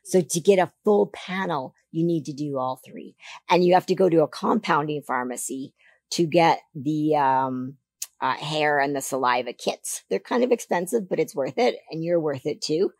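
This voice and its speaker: A woman in her forties from Canada, a podcast guest, talking emphatically about health in a casual interview into a boom mic in a studio. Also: normal speed, breathy edge, no echo. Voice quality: deeper voice than she once had. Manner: emphatic, animated advice-giving. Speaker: podcast guest, figure competitor. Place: studio set on a sofa. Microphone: boom mic on a stand.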